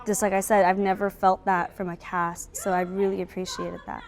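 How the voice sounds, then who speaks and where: Earnest young woman, medium pitch, faint noise. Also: little pitch movement, moderate pace. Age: young adult. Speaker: student actor. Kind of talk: heartfelt interview.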